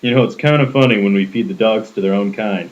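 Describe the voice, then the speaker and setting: A young man putting on a creepy, sadistic dog breeder with a slow sinister drawl. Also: lowish voice, highs cut off, close to an everyday voice.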